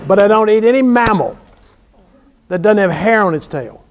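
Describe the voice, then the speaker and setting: A man's voice: high voice, expressive, normal speed. A man from South Louisiana with a Southern US accent, bantering informally with a class as a lecturer, folksy, joking and prone to exclaim. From South Louisiana, USA. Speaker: university lecturer. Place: university classroom.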